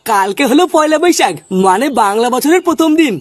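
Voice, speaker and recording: Woman as a brash, high-pitched gang leader. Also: expressive, brisk. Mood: bossy. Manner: brash, ordering others around. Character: bossy, boozy young ringleader boy of friends. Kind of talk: comedy cartoon voice acting.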